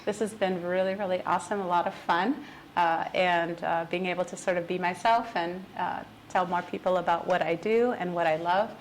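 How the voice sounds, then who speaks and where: A woman aged fifty-nine chatting casually as an interview guest, talkative and quick to laugh. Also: lowish voice, steady tone, a little hiss or hum, rough recording. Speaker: podcast guest, business owner.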